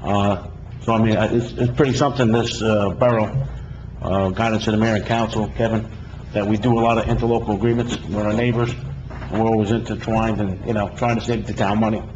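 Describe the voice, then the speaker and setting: Low-voiced councilman, slightly muffled. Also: fairly even intonation, brisk. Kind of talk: informal public meeting remarks. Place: indoor council chamber. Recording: slightly muffled, faint room noise.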